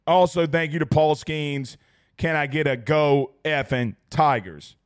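A young man hosting a fan livestream after a big game, his voice hoarse and strained from yelling. He talks in an excitable, rambling way, repeating lines for emphasis. His voice sits a bit high for a man. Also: unhurried. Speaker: sports talk show host. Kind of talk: excited post-game livestream chat reading super chats.

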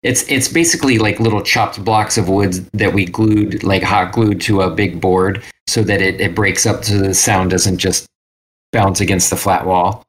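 A man's voice: sits low, fairly even intonation, normal speed. A man talking casually and anecdotally as a guest on an interview show.

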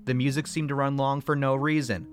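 An actor in an audio fiction comedy playing a jealous, defensive, foul-mouthed video maker who gripes sarcastically. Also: male voice, mid-range voice, flat delivery, brisk, music in the background, natural voice. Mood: smug, mocking. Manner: sarcastic, griping, profane. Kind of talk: naturalistic audio fiction dialogue.

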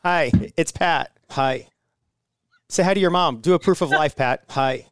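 A stiff, choppy AI copy of a podcast host, as a man puts it on, reading each word in a halting staccato. Its pace is slow and deliberate. The sound is dry and close. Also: a little high, a bit bright, smooth, no echo, voice pushed up. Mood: flat reading.

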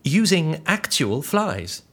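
An actor playing an anxious, earnest scientist on the run in an audio drama, explaining things in a precise, literal way with a husky voice. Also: male voice, fairly high pitch, big pitch swings, slow pace, fairly bright tone, exaggerated voice. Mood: proud, explanatory.

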